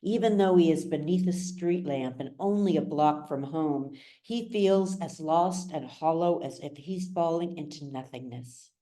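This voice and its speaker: A woman narrates an audiobook in a low, mellow voice. Her storytelling is measured and dramatic, and she takes her time over each phrase. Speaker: author reading their own novel.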